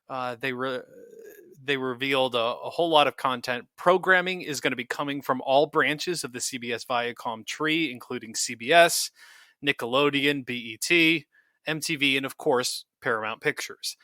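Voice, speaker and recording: A man with a bright, forceful voice at a medium pitch presents as a podcast co-host. The recording is dry and free of room sound. His delivery is that of a semi-scripted news rundown. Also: moderate pace, no echo.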